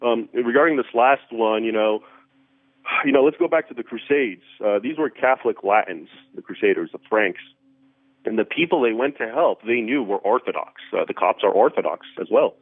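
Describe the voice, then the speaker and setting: A male guest expert explains history in a brisk, lecturing way, often restarting his sentences. His voice is fairly low, and it comes through a tinny phone line with a dry sound. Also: lively intonation, no room sound.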